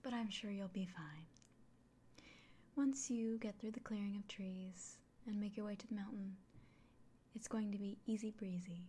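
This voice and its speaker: A woman playing a kindly, caring innkeeper in an ASMR fantasy roleplay, whispering gently and reassuringly. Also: mid-range voice, moderate pace, slight background noise, natural voice. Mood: caring, cautionary.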